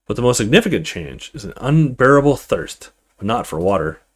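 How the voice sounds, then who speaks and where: Brief: male let's play host, narrating a visual novel with casual asides, mid-range voice, animated intonation. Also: mid-range voice, fairly quick, breathy edge. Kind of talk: reading visual novel text aloud with casual commentary.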